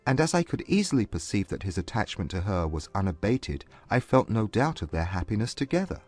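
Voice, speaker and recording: A man playing a proud but humbled gentleman suitor, earnest and formal, in a dramatized classic romance audiobook. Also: lowish voice, sing-song, no room sound, faint music behind. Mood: candid confession. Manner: formal, measured period English.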